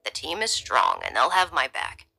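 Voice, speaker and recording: A woman voicing a tired middle-aged male security chief who speaks low and half-whispered. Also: sing-song, normal speed, gravelly, bright, forceful tone, husky, faint music behind, exaggerated voice. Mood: weary.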